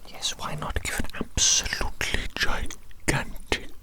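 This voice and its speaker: A doting mad scientist acted out by an ASMR performer speaks entirely in a breathy whisper. The delivery is theatrical and fussy, with formal phrases repeated over and over, like an eccentric evil doctor in a close roleplay. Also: unhurried, lightly acted. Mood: sly, doting.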